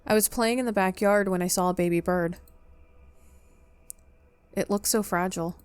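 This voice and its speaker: A woman's voice: mid-range voice, moderate pace, clear, resonant tone, no room sound. A woman playing a visual novel and reading its lines aloud dramatically, as in a playthrough. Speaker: game player reading aloud.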